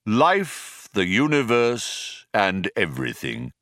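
A man voicing a grand, all-knowing supercomputer in a story, with solemn, drawn-out delivery. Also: lowish voice, wildly swooping pitch, fairly bright tone, no echo, studio quality, heavily acted.

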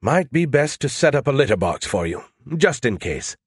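A man performs a cocky male AI from a sci-fi audiobook. The voice is pushed up high, loud and eager, needling and chattering with teasing confidence. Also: sing-song, moderate pace, husky, no room sound, studio quality. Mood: mocking, teasing. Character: cocky, chatty, mocking male artificial intelligence.

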